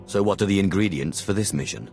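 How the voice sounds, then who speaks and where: A very deep, haughty Sith lord in a space-fantasy game. He is dry, sardonic and unhurried, with loud music playing behind him. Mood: sardonic, menacing. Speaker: player character in a video game. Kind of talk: video game voice acting.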